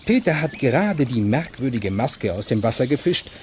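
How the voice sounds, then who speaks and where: A man narrating a children's audio play in a measured, suspenseful storytelling manner with clear articulation. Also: mid-range voice, very animated, a little mellow, a little hiss or hum, close to an everyday voice. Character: suspense-building male storyteller.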